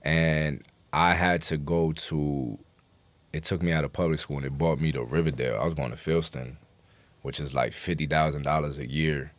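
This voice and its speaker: A man's voice: extremely low pitch, steady tone, moderate pace, no room sound. A man with a New York accent chatting casually as an interview guest, telling stories emphatically and with lots of slang. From New York City. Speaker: guest rapper.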